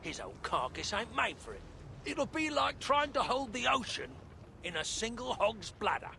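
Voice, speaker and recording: An actor playing a stubborn, eccentric old dwarf inventor in a video game, musing in folksy, rambling, colloquial speech. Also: male voice, very high-pitched, big pitch swings, normal speed, creaky, bright, forceful tone, a little hiss or hum, full character voice. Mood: thoughtful, insistent. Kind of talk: dramatic video game acting.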